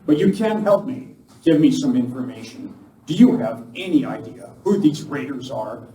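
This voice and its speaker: An actor playing an earnest, commanding masked lawman hero in a live Western radio play, speaking deliberately. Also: medium pitch, animated, dark tone, lightly acted. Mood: steady, grave. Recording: echoey room from far off, noisy.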